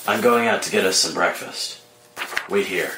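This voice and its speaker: A man playing a brooding, guarded vigilante in a screenplay table read, speaking in an understated, measured way. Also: deep voice, bright, forceful tone, a little hiss or hum. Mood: low-key, businesslike. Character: Bruce, brooding guarded adult male vigilante softening in love. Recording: roomy echo under dramatic score music.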